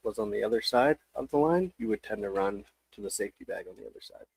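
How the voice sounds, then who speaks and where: A man speaking as a baseball coach, giving casual, measured interview answers with hesitant phrasing. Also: on the low side, expressive, gravelly, a little mellow, husky, no echo, low-quality recording.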